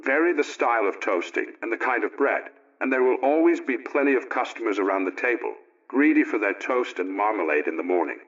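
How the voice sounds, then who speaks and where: An actor plays a middle-aged family man on 1920s radio, earnest and folksy. He reads in a plain-spoken, politely opinionated way at a medium pitch. The recording is dull and old-fashioned. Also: lively intonation, a little fast, hardly any pauses, fairly bright tone, lightly acted. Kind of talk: 1920s radio scripted letter reading.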